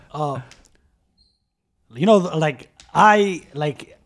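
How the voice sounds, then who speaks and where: A man weighs in on a podcast chat, hedging carefully and leaning on slang and fillers. His voice sits a little high for a man. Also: extremely slow. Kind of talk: casual chat.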